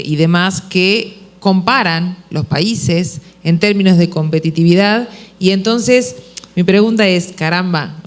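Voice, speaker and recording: A woman's voice: lowish voice, clean tone. A woman from Uruguay with a Uruguayan accent, moderating a business panel and posing questions thoughtfully, with frequent fillers and restarts. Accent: Uruguayan Spanish.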